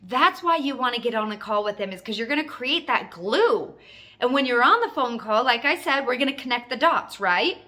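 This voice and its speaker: A woman's voice: on the high side, lively intonation, normal speed, sharp tone. A woman giving an informal sales training talk as a coach, emphatic and instructive, repeating key phrases for stress. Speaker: network marketing coach.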